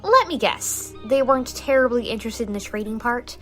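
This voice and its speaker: A woman voices a determined teenage waterbender girl in a fan cartoon dub, her voice fairly high. She asks pointed, skeptical questions, with faint music underneath. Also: expressive, brisk, a bit bright. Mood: knowing. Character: determined teenage female waterbender, sharp-witted. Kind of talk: fan animated comic dub.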